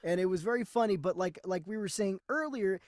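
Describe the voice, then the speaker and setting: A man's voice: high voice, fairly quick, darkish tone, dry recording, studio quality. A man co-hosting a movie review podcast, chatting casually with a wry, questioning manner. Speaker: podcast co-host.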